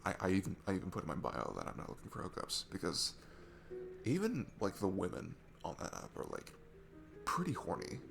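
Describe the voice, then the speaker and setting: A man playing a shy, nervous, sweet guy at a bar in an intimate ASMR roleplay, stammering and rambling apologetically in a low, gravelly, breathy voice. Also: huge pitch swings, brisk, exaggerated voice. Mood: self-deprecating, confiding. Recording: music behind the voice. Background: music.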